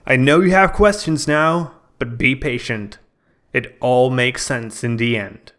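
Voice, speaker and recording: A man's voice: medium pitch, unhurried, a bit bright. A man narrating a scripted video game review in a dramatic, storytelling manner.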